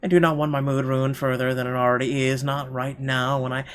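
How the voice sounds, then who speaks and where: A man's voice: mid-range voice, little pitch movement, a little fast. A man reading first-person narration from a visual novel aloud in a dramatic storytelling style.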